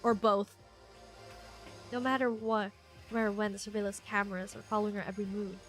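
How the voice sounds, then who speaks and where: A congested woman streaming a video game, reacting excitably with frequent gasps and laughs. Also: medium pitch, brisk, over music. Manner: excitable, gasping and laughing often. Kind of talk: casual gameplay commentary.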